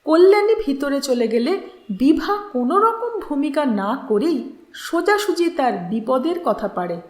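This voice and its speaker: A woman's voice: sits high, very animated, measured pace, darkish tone. A woman narrating a literary story expressively, as a storyteller. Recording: echoey room.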